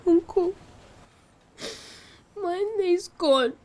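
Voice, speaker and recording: A woman's voice: very high-pitched, drawn-out words, a little mellow, full character voice. A woman voicing a young Nigerian orphan girl who pleads and sobs, repeating herself over and over. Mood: grieving. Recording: music playing underneath. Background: music.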